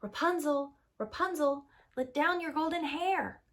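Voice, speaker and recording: A woman's voice: sits high, animated, unhurried, voice pushed up. An earnest young prince from a fairy tale, voiced by a woman. He is trusting and anxious, calling out in a pleading way with a slightly lifted voice. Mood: hopeful calling.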